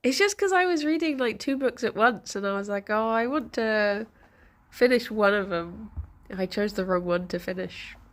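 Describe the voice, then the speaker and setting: A young woman streamer with a congested, bunged-up voice, chatting softly with viewers and laughing often. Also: mid-range voice, moderate pace. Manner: soft, chatty, laughs often, greets chat by name. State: congested, stuffy nose. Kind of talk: casual morning chat with viewers.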